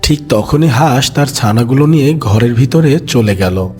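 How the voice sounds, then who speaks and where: A man's voice: medium pitch, animated, moderate pace, a little mellow, faint music behind. A man narrating a scripted children's animal story as its storyteller.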